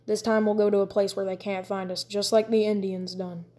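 A woman's voice: medium pitch, flat delivery, a little fast, dark tone, natural voice. Woman doing a hardened backwoods father. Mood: resolute. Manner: plain rough country talk.

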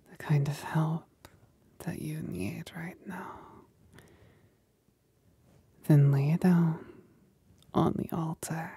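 A possessive female demon, played by a woman, whispers in an ASMR roleplay. Her voice is husky and breathy, drawn out very slowly and edged with creak. She teases and commands with syrupy condescension. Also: deep voice, warm tone, heavily acted. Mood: seductive, commanding. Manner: slow, deliberate, condescendingly sweet.

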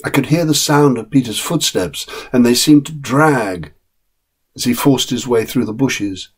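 Husky man as a loyal storybook dog. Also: mid-range voice, unhurried. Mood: wistful, earnest. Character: loyal, plain-spoken dog narrating its own story. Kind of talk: dramatic short story reading.

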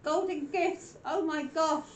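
A high, chatty woman, her voice husky from a cold. She greets livestream viewers and giggles between remarks. She is recorded on a camera mic in a bare stone room that throws the sound back, with a faint hiss underneath. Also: fairly even intonation, takes it slowly, echoey room. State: voice weakened by a cold. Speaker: paranormal investigation host. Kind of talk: casual livestream chat, reading out viewer comments. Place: stone room in an old mine building at night.

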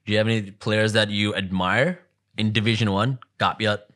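A young man with a somewhat low voice hosts a podcast. He asks questions in a loose, casual way, chatting close to a studio microphone. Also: fairly even intonation, moderate pace, fairly bright tone. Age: young adult. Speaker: podcast host. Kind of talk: casual interview chat. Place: small dim podcast studio indoors. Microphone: close studio mic on boom arm.

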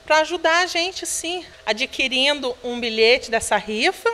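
A woman's voice: high voice, lively intonation, a little slow, bright, forceful tone. Earnest Brazilian woman in echoing room. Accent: Brazilian Portuguese. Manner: earnest, appealing, uses filler "né". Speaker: charity director. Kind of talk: news interview. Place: indoor room of a children's home. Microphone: handheld reporter microphone.

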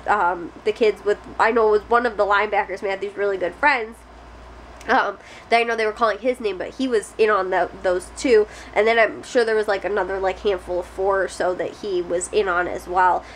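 A woman's voice: mid-range voice, a little hiss or hum, rough recording. A young adult woman vlogging casually to a close camera in a small bedroom, rambling with lots of fillers and restarts. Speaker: family vlogger. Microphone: close camera at face height.

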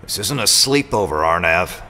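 An actor playing a suspicious, prickly loner robot pilot in an audio drama, talking in a sardonic, accusing way. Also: male voice, deep voice, expressive, normal speed, pushed and bright, slight background noise. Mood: grumpy, sardonic.

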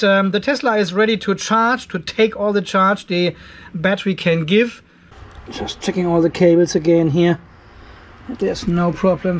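An older man gives casual, chatty technical commentary for a video, explaining things informally step by step. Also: high-pitched. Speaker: host of off-grid DIY channel. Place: cluttered garage workshop, indoors.

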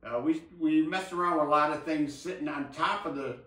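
An older man chatting casually about technical hobby matters as a co-host, explaining haltingly and repeating words. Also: a little high, bright, forceful tone. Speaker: co-host of an audio hobby show. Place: indoor room with table and blinds. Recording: roomy, echoey, distant mic.

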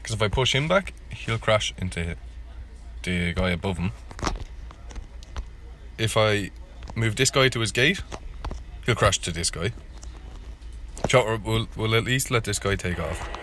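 A man's voice: fairly low pitch, measured pace, pauses often, a bit bright, slight background noise. A man giving casual live gameplay commentary in a self-deprecating way. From Ireland. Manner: self-deprecating, repeats words when flustered. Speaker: gaming video host.

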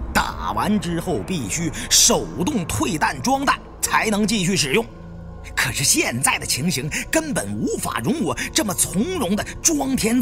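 Male audiobook narrator for adventure thriller, very lively intonation, suspenseful, light music behind. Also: fairly high pitch. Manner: expressive, suspenseful delivery. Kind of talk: dramatic scripted storytelling of an adventure thriller. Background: background music.

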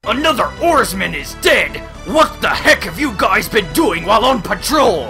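A man's voice: high-pitched, very animated, moderate pace, vocal fry, over music, exaggerated voice. A man doing a snappish ship captain. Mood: annoyed. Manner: exasperated, snapping. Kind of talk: fan-made parody cartoon dub.

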